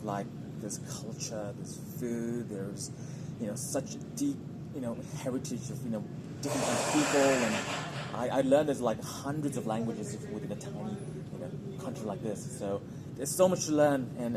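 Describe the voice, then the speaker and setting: Nepal-born man, event guest, casual and rambling, loud background noise, nearby traffic. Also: lowish voice, normal speed. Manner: relaxed, many fillers and restarts. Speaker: interview guest, event attendee. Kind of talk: casual interview chat.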